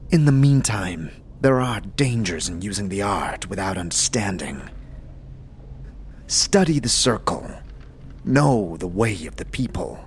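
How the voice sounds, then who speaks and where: A man playing a solemn, disciplined warrior sage who speaks in a grave, formal, measured way. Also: lowish voice, a little hiss or hum, pitched below the performer's own voice.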